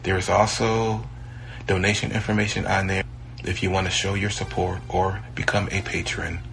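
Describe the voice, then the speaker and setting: Low gravelly podcast guy, chill friendly outro. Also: normal speed. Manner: relaxed, friendly sign-off. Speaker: podcast host.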